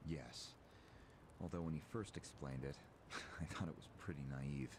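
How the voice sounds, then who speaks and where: A man playing a calculating, cynical political operative in a video game, measured and smug as he explains. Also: rumbling low, noisy background. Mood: cynical, confident. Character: calculating, cynical male political operative recounting a grand scheme. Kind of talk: English video game voice acting. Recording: game audio with music behind. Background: game music.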